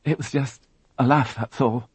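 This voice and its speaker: A man voicing a frightened young woman who witnessed a crime, tearful and halting, repeating her words as she talks. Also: mid-range voice, fairly even intonation, slightly rough, dry recording, pitched above the performer's own voice. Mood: shaken.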